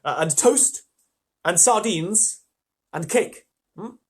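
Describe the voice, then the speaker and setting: A man voicing an excitable, courteous fawn with a flustered, effusive, old-fashioned politeness in a storybook reading. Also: high-pitched, slow, breathy edge, voice pushed up, full character voice. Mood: inviting, courteous.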